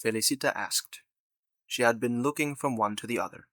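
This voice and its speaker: A man's voice: mid-range voice, brisk, fairly bright tone, no echo. A man reading scripted narration for an audio drama as its narrator.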